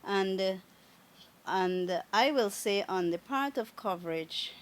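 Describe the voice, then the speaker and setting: A woman from Guyana with a Guyanese accent, speaking as a government minister in a radio interview in a measured, formal way. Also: mid-range voice, expressive. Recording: radio studio with faint noise.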